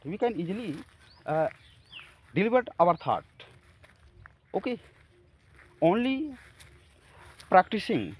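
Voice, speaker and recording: A man from India with an Indian accent, a Hindi speaker, talking haltingly to camera about practicing English, with many fillers and restarts. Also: high voice, slightly rough. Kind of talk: casual talk to camera.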